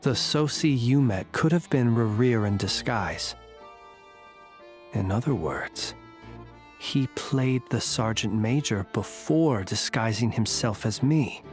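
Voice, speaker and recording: An actor playing a composed, courteous and grave warrior investigator in a video game, speaking formally and with measure. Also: male voice, mid-range voice, expressive, takes it slowly, darkish tone, faint music behind. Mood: grave, decisive.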